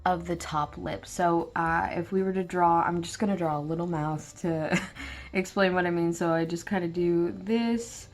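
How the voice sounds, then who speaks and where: A young woman, a bit hoarse after just waking up, talking casually through a drawing tutorial with lots of fillers. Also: fairly low pitch, fairly even intonation, normal speed. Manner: rambling, filler-heavy, self-deprecating. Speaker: art channel host. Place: at a desk, filming her drawing from overhead.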